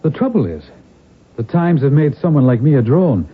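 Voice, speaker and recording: An actor playing a proud but weary impoverished nobleman, husky-voiced, in old radio drama style. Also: fairly high pitch, big pitch swings, moderate pace. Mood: wistful, resolute. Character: impoverished Irish nobleman, proud yet weary, last of his line. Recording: narrow-band old radio broadcast.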